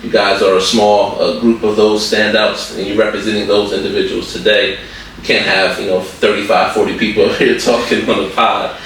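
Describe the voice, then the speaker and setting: A man's voice: fairly low pitch, little pitch movement, moderate pace, a bit bright. A man hosting a school podcast in a casual, joking way, full of fillers and asides. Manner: chatty, joking, lots of fillers. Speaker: podcast host. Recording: echoey room, distant mic, faint noise.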